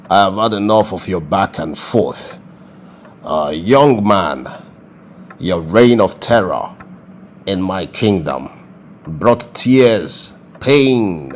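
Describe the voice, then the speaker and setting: Man playing a deep-voiced, pompous king. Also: slight background noise. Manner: pompous, slow, ceremonious. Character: stern, grandly formal Nigerian king passing judgment. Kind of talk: comedy skit acting.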